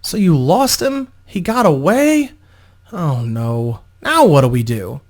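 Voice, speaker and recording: A man's voice: a little high, very animated, slow pace, full character voice. A man voicing an anxious young female stage performer in a fantasy story, fretful and distraught. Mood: exhausted, worried. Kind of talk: dramatic fantasy story reading.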